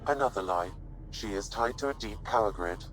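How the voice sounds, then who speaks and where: A man voicing a calm, analytical AI assistant in a video game, precise and detached. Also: lowish voice, normal speed, slight background noise, natural voice, poor audio. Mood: detached, factual. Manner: precise, detached, matter-of-fact. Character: calm, analytical male AI assistant advising a space explorer.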